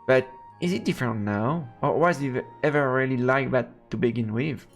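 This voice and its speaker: Gravelly French-accented man streaming a game. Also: mid-range voice, moderate pace, faint music behind. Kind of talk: live let's play, reading game text aloud and commenting.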